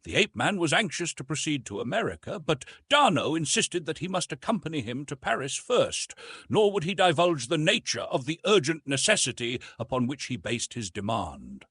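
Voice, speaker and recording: A man's voice: mid-range voice, fairly bright tone, slightly rough, no echo. A man narrating an adventure novel as an audiobook in a scripted storytelling style.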